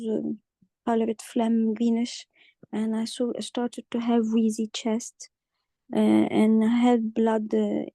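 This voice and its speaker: A woman playing a hoarse forty-nine-year-old patient with a croaky voice, speaking haltingly with many pauses and restarts. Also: on the high side, dark tone, dry recording. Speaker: simulated patient in exam practice.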